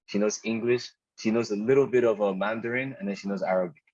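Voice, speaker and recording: A young man from Nigeria, a podcast guest talking in a relaxed, chatty way, often saying "dude" and restarting his sentences. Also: on the low side, fast, no echo. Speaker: podcast guest, product designer. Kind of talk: casual conversational interview. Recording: dull, slightly muffled podcast audio.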